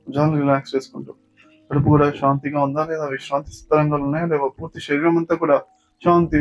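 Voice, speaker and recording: A man with a Telugu accent leading a guided yoga nidra meditation as a teacher, giving slow, repetitive, soothing instructions. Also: on the high side. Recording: dull lo-fi sound with music. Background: background music.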